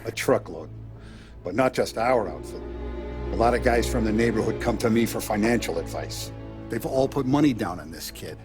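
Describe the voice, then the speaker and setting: Man doing a calm shrewd mob boss laying out a plan, music under it. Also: fairly low pitch, lightly acted. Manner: measured, authoritative. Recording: game cutscene with music.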